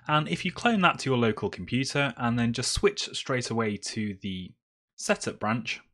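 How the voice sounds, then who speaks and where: A man's voice: mid-range voice, a bit bright. A man presenting a screencast tutorial, explaining step by step in a semi-improvised way as he works. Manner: step-by-step, explaining while typing.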